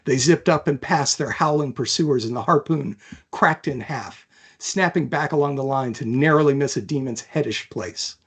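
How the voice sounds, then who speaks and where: A man with a mid-pitched voice narrates as an author reading his own book. The delivery is dramatic storytelling, scripted and descriptive, in an audiobook style. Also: moderate pace, a bit bright, breathy edge.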